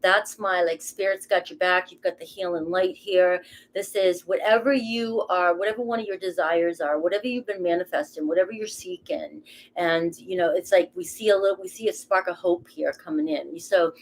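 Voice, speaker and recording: A woman's voice: on the low side, steady tone, moderate pace, slight background noise, poor audio. A middle-aged woman with a Boston accent giving a casual, rambling tarot reading, swearing casually as she talks. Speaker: tarot reader.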